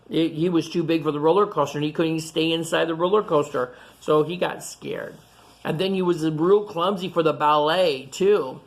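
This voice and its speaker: Low-pitched woman reading to young children. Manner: gentle encouraging questions, simple repetitive explanations for children. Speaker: children's storytime reader. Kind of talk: picture-book read-aloud and simple chat to young children.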